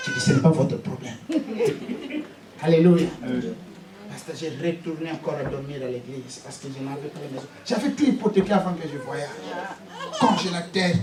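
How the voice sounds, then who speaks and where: Male preacher; lively sermon with hallelujahs, a congregation responding in a reverberant church. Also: fairly high pitch, fairly quick, a crowd in the background. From Congo. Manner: fervent, punctuates with repeated hallelujahs, sometimes shouts; stumbles and repeats words. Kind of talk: sermon with personal testimony. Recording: roomy church hall, mic at a distance, congregation audible.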